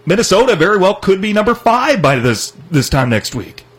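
Husky-voiced sports radio host. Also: male voice, sits high, sing-song. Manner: brisk and knowledgeable, runs the segment. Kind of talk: casual sports chat.

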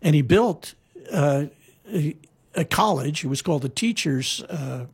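A man's voice: fairly high pitch, lively intonation, unhurried, slightly rough. A man telling historical stories in a casual conversation, rambling with frequent fillers and restarts. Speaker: guest storyteller. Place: lives in Hawaii.

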